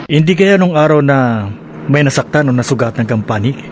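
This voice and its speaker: A man's voice: mid-range voice, lively intonation, a little fast, background noise, natural voice. Police partner played by a man: radio drama, inquisitive, teasing, natural mid-range male voice. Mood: probing. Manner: inquisitive, conversational.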